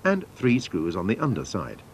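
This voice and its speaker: A man's voice: fairly low pitch, wildly swooping pitch, normal speed, a little hiss or hum. A man narrating scripted instructions for a training film in a formal, methodical manner.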